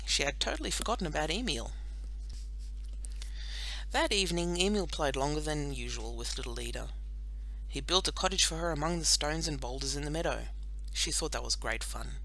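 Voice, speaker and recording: A woman narrating a children's storybook in an expressive, scripted audiobook style. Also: low voice, low-quality recording.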